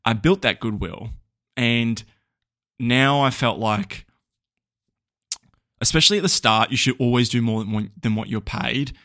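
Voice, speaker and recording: A man's voice: medium pitch, a little slow, long pauses, fairly bright tone, dry recording, clean studio sound. A young Australian man hosting a casual solo podcast, rambling and chatty with lots of ums and small laughs. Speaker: podcast host.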